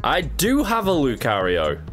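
A man's voice: mid-range voice, huge pitch swings, unhurried, a bit bright, faint music behind. A man in his thirties hosting a casual gameplay stream, joking and laughing often while he has a cold. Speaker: gaming channel host.

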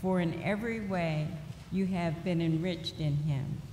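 A middle-aged woman reading scripture aloud at a church lectern in a slow, deliberate way. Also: on the low side, warm tone. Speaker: lay reader. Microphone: lectern microphone. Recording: echoey church hall with faint noise.

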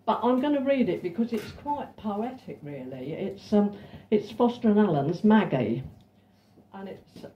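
An older woman from England with a self-described deep voice, chatting and reciting verse at a folk club in a pub. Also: sing-song, dark tone, a little hiss or hum. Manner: chatty, reads verse slowly and sings unaccompanied. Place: cosy pub back room. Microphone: stand mic, filmed from across the room. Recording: roomy, distant, dull pub recording.

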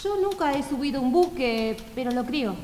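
A woman as a high-voiced restless office clerk. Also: expressive, moderate pace, darkish tone. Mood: wistful. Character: restless female office clerk, apologetic, longing to travel. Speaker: amateur radio theatre actor. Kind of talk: radio play acting. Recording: echoey hall, faint noise, clicks.

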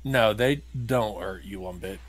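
A man's voice: fairly low pitch, a little slow. A man playing a video game and commentating casually, with blunt, grumbling remarks. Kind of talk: casual gameplay commentary chat.

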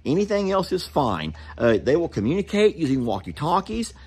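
Man, 30s, husky farm vlogger, animated delivery. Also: fairly high pitch, moderate pace. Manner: folksy, explains to viewers, hollers to his kids. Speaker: vlog host. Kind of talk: casual farm vlog. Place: outdoors on a rural property. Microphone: phone camera at arm's length.

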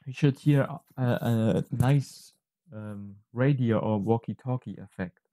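A man gives an unscripted screen-recorded tutorial at an unhurried pace. His voice is soft-edged and mellow, and he explains each step hesitantly, often halting to find the next words. The sound is close and dry. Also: mid-range voice, no echo. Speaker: tutorial presenter.

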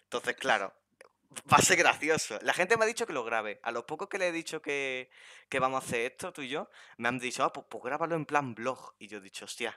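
A man's voice: mid-range voice, lively intonation, brisk, sharp tone, no room sound. A young man from Spain with a Spanish accent, hosting a casual podcast chat on a headset and joking around with his guest. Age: young adult. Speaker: podcast host. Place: bedroom streaming setup.

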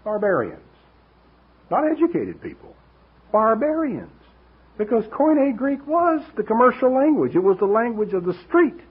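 An older man preaching an expository sermon, teaching emphatically with rhetorical asides, in a husky voice. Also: fairly high pitch. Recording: dull, lo-fi radio-like recording.

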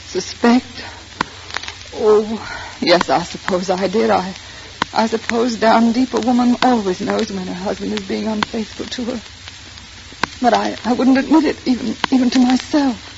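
An actress playing a hesitant, wounded wife, speaking haltingly in a 1940s radio drama. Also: female voice, on the high side, steady tone, vocal fry. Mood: hurt, halting. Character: hesitant, wounded wife betrayed by her husband. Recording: crackly, muffled 1940s radio recording.

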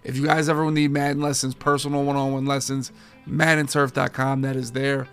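A man in his thirties, a gaming streamer talking casually and energetically to his viewers, his voice a bit hoarse from overuse. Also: mid-range voice, brisk, faint music behind. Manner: energetic, slangy, addresses viewers as boys and guys. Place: home game room with framed pictures.

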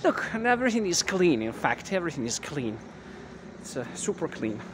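A man in his thirties guiding a casual walking tour on a quiet residential street, talking with many fillers and restarts into a handheld camera mic. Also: fairly high pitch, big pitch swings, fairly quick. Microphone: handheld camera mic while walking. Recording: clear outdoor street noise.

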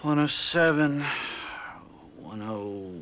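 A man's voice: on the high side, expressive, slow, a bit bright. An actor playing a preoccupied male office clerk in a game cutscene, droning in clipped, absent phrases over noise. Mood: absorbed. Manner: counting aloud absently, clipped. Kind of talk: video game cutscene acting.

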